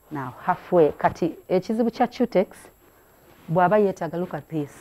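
A woman's voice: lowish voice, mellow. A middle-aged woman hosting a hands-on craft demonstration indoors, chatty and encouraging, switching between languages as she works. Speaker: craft show host. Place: indoor room at a craft table.

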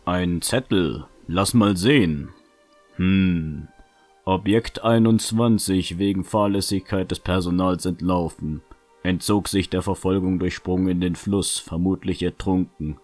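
A man playing a sober, commanding young hero in a fan-made fantasy audio drama, measured and matter-of-fact. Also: sits low, a little mellow, faint music behind. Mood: grave.

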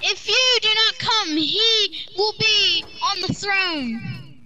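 Very high-pitched female gamer, noisy chat. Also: animated, slow. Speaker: player in a game roleplay. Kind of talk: improvised roleplay over online game chat.

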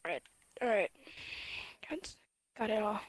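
A woman's voice: medium pitch, takes it slowly. A young woman doing casual, improvised role-play in a game. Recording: faint noise and clicks.

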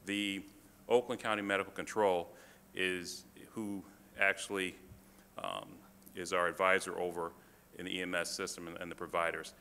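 A man presenting to a city council as a fire department official, halting, with many fillers and restarts. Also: sits low, little pitch movement, gravelly, pushed and bright. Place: council chamber. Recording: reverberant chamber, faint room noise.

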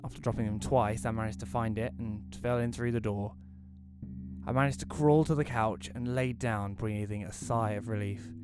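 A man's voice: fairly low pitch, fairly even intonation, normal speed, over music, lightly acted. A man playing a curt, sarcastic, antisocial widower who narrates his own story in an audio play. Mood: uneasy, narrating. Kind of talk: first-person audio play narration and dialogue.

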